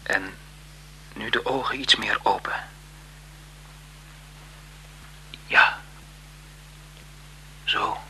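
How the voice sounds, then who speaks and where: An actor in a radio drama playing a shy, deferential photographer, hesitant, soft-spoken and courteous, nervously smitten. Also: male voice, mid-range voice, a little hiss or hum, full character voice, low-quality recording. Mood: intent, gentle. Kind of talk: 1970s Dutch radio drama acting.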